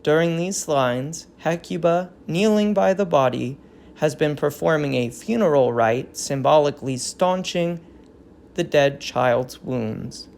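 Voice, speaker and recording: A woman's voice: low voice, measured pace, creaky, a little mellow. A woman reading stage directions as narrator in scripted play narration.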